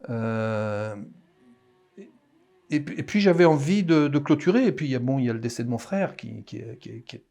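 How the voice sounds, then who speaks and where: A man in his sixties with a Parisian French accent, an author talking about his writing in a hesitant, halting way in a casual interview. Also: medium pitch, expressive, a little mellow. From France. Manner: hesitant, halting, many restarts.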